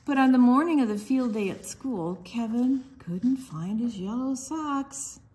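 A woman reading a children's picture book aloud, expressively and with deliberate dramatic pauses. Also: on the high side, animated, mellow. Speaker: storytime book reader.

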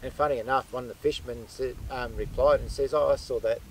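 A man, a guest, telling how a yacht was recovered in a casual outdoor interview on a beach, speaking haltingly with many fillers. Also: medium pitch, steady tone, a little hiss or hum, low-quality recording.

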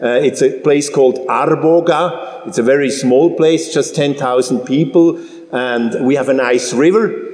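A man in his fifties from Switzerland giving a public talk, halting with frequent restarts and fillers. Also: medium pitch, darkish tone. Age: 50s. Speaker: guest speaker. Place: large church hall. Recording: roomy hall with long reverb.

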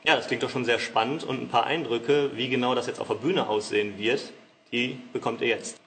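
A man's voice: medium pitch, steady tone, fairly quick, a bit bright. A young man presenting event tips to camera. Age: young adult. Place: school theatre stage, indoors. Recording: faint background noise, stage ambience.

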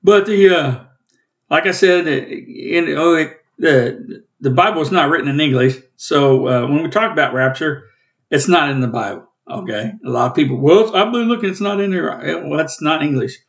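Rambling man in his fifties, husky throat. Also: fairly high pitch, lively intonation, moderate pace. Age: 50s. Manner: rambling, plainspoken, self-corrects. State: coughing, rough throat. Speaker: Bible teacher and channel host. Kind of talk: casual home Bible study talk. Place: small room at home, indoors.

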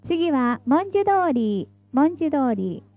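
A woman's voice: sits high, big pitch swings, takes it slowly, lo-fi sound. A woman reading scripted tram announcements in a formal, polite, carefully enunciated way, as the stop announcer.

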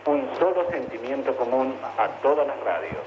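A man's voice: mid-range voice, sing-song, normal speed. Man, Argentine accent, radio announcer, formal delivery, muffled sound, noisy background. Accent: Argentine Spanish. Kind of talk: formal message read slowly for dictation. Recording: muffled, noisy long-distance radio link.

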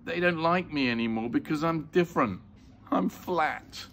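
A man's voice: on the high side, normal speed, a bit bright, a little hiss or hum, voice pushed up. A man voicing a plucky, sensitive little boy in a storybook, in an earnest, plain-spoken way. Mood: hurt.

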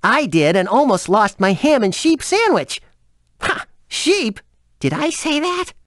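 An excitable, friendly storybook creature from a video game. The voice is on the high side and animated, with theatrical drama, recorded dry. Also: female voice, measured pace, dry recording. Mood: excited, silly.

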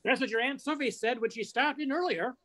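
A woman's voice: high-pitched, a little fast, fairly bright tone. An older woman playing a wisecracking, scheming male reporter in a radio play, breezy and wry, over a video call. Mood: sly, scheming. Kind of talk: old-time radio play acting.

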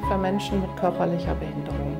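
A woman's voice: fairly low pitch, quick pace, vocal fry. A woman in her fifties introducing herself as a managing director in an organisation's film. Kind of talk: interview self-introduction for a promotional film. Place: bright meeting room indoors. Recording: music behind the voice.

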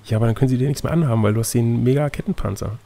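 A man's voice: medium pitch, very fast, vocal fry, dark tone, faint music behind. A man narrating an adventure gamebook as game master and chatting casually with his co-player. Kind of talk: reading a gamebook aloud, with casual banter.

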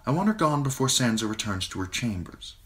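A man with a deep voice putting on a poised adult queen who speaks softly and sweetly but with a sharp edge, his voice raised in pitch. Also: sing-song, fairly quick. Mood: commanding. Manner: soft, honeyed and controlled.

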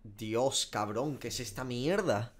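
A man's voice: mid-range voice, slow, noisy background. A young man from Spain with a Spanish accent, reacting casually and swearing a lot while guessing game skins. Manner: swears often, animated, self-mocking. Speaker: gaming streamer. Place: small room indoors.

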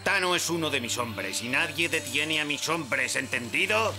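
An actor playing an aggressive poacher boss in a dubbed cartoon, menacing and blustering. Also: male voice, on the high side, animated, moderate pace, over music, natural voice.

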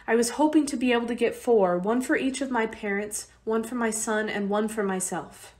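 A woman's voice: a little high, normal speed, a little mellow, lightly acted. A woman acting a determined, anxious young refugee mother in a radio play, speaking earnestly. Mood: anxious, pleading.

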